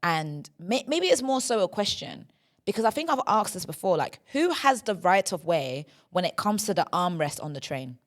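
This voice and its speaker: A woman's voice: lowish voice, big pitch swings, moderate pace. Young female podcast host. Chatty solo rant that leans on tag questions. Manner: chatty, tag questions after nearly every line.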